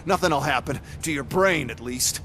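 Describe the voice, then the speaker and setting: An actor playing a smug, ambitious schemer in a video game, with a condescending, impatient and commanding manner. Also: male voice, mid-range voice, expressive, normal speed, creaky, heavy background noise.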